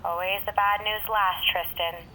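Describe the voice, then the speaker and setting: A woman's voice: medium pitch, steady tone, normal speed, pushed and bright, a little hiss or hum, natural voice, low-quality recording. An actress in an audio drama playing a commanding, focused female warrior. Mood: focused, tense.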